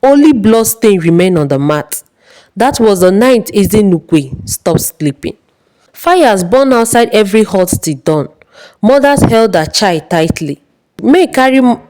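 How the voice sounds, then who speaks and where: Slow, animated woman storyteller. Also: mid-range voice. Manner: solemn, suspenseful, measured. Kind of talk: dramatic folktale narration.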